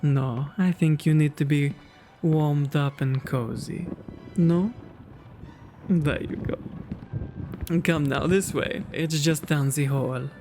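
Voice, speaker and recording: A man's voice: a little high, fairly even intonation, slow, long pauses, darkish tone, soft background music. A man playing a kind, genteel vampire host in an ASMR roleplay, with doting, courteous, old-fashioned phrasing. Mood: cozy, doting.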